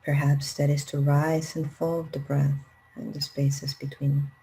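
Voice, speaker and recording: Low, mellow woman guiding meditation. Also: vocal fry, slightly rough, poor audio. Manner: calm, unhurried, gentle, with long pauses. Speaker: meditation guide. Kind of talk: guided meditation, slow spoken instructions.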